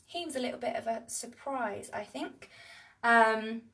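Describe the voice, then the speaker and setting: A woman with a bright, fairly high voice talks and reads to young children. She is expressive and animated, leaving dramatic pauses. The microphone sits a little way off from her. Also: far from the mic. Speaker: children's storyteller. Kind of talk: expressive picture-book reading and chat to young viewers. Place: livestream on several screens.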